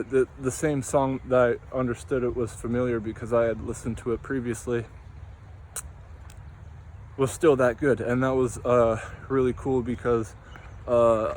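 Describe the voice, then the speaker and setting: Young male vlogger, flat, drifting tangents, outdoors with light traffic hum. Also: mid-range voice. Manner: meandering, tangential, many fillers. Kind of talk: rambling casual monologue.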